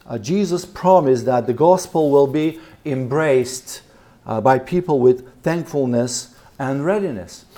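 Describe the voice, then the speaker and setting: A man in his fifties from Bulgaria, with a Bulgarian accent, giving a seminar talk as a missionary, pausing often on fillers and repeating words. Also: medium pitch, warm tone. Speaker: missionary and church planter speaking at a seminar. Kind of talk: seminar presentation. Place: seminar room with an audience.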